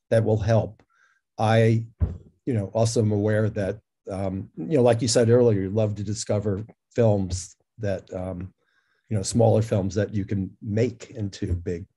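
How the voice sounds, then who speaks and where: A man with a very dark, soft-edged and husky voice moderates a discussion. His pitch is on the low side, and he asks long, informal questions, rephrasing as he goes. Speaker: moderator and interviewer, a filmmaker. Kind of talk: conversational interviewing.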